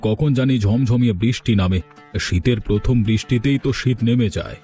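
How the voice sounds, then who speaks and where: A man voicing a genial, nostalgic ageing village gentleman in a cartoon story. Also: medium pitch, brisk, soft background music, close to an everyday voice. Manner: reminiscing, genial.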